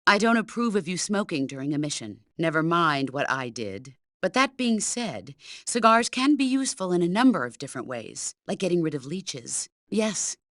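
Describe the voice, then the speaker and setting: A woman's voice: lowish voice, very animated, normal speed, hardly any pauses, dry recording, studio quality. A middle-aged woman playing a stern, commanding veteran soldier who mentors her former protégé in a video game. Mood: stern, reproachful, then matter-of-fact. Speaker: military mentor character. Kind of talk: video game voice acting, radio briefing dialogue.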